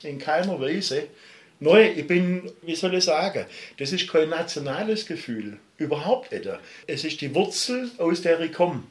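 A man's voice: on the high side, expressive, a little slow, roomy sound. A middle-aged man with a Swabian accent, talking passionately and at length as an interview guest. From Baden-Württemberg, Germany. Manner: passionate, emphatic, digressive. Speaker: musician guest. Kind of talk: interview talk.

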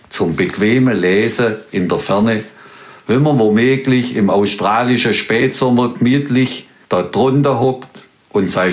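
A middle-aged man with a thick Swabian accent reads his own humorous column aloud on a dialect radio show. His voice sits a little low and he takes his time, letting each line land with wry, easygoing humor. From Swabia, Germany.

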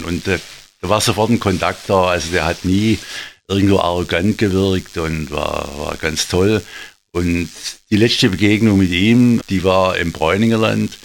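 Low-voiced Swabian man telling anecdotes. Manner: halting, searching for words, repeats phrases. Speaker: interview guest, photographer. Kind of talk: personal anecdote in conversation. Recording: faint background hiss.

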